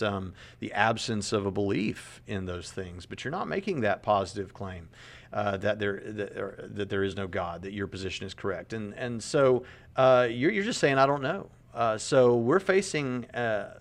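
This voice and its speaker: A man in his late thirties, earnest and conversational, commenting on a podcast clip and often repeating words as he reasons aloud. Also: lowish voice. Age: 30s. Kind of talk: apologetics commentary.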